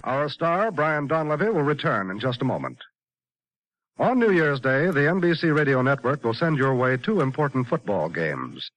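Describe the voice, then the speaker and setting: A man's voice: mid-range voice, very animated, moderate pace, background noise. An American man announcing from a script in polished 1950s network radio style. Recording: old radio broadcast, dry studio.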